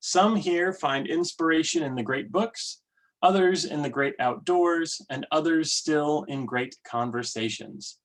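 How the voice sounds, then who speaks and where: A man leading worship, giving welcomes and readings in a measured, deliberate way. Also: on the high side. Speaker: worship leader. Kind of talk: church service welcome and readings.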